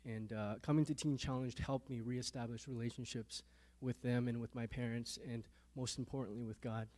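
Soft-spoken man giving a halting testimony. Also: mid-range voice, steady tone. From Canada, born in a Thai refugee camp to Lao parents. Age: 30s. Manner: halting, repeats words, soft-spoken on hard memories. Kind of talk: earnest faith testimony interview.

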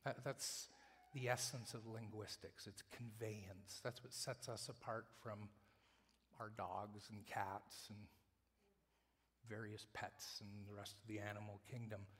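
A male preacher giving a sermon, moving between loud emphatic lines and hushed whispers. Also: medium pitch. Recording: long reverb of a large hall.

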